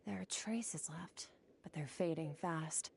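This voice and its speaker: Cold distant game warrior lady, deep low voice, short and bossy with everyone. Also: slow, gravelly, slight background noise, exaggerated voice. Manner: curt, cold and commanding. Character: cold aloof female warrior, mysterious and distant. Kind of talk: video game cutscene voice acting.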